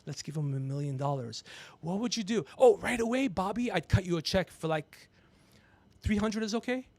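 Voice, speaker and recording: A man's voice: high voice, lively intonation, normal speed, darkish tone, dry recording. A man in his fifties hosting a casual comedy livestream, rambling, teasing and swearing as he talks. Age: 50s. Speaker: podcast host.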